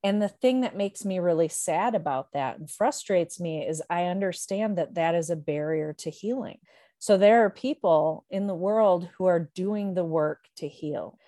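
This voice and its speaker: A woman talking reflectively about personal matters in a thoughtful, measured way, pausing to find the right words. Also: fairly low pitch, mellow, no room sound, studio quality.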